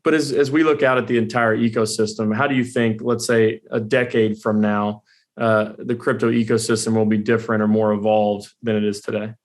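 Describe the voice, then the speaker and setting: A man's voice: fairly low pitch, level tone, brisk. A man hosting an interview show, asking long, winding questions. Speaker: podcast host.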